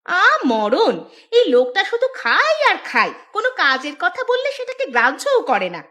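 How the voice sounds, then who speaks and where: A sharp-tongued cartoon village wife, acted by a woman, with a high, bright, cutting voice. She schemes and scolds in an exasperated, emphatic way. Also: huge pitch swings, moderate pace, full character voice. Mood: scolding, exasperated. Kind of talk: Bengali cartoon voice acting.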